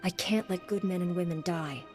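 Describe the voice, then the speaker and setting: An actress playing a calm, resolute female knight hero in a video game. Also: on the low side, moderate pace, natural voice. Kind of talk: dramatic video game voice acting. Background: music behind the voice.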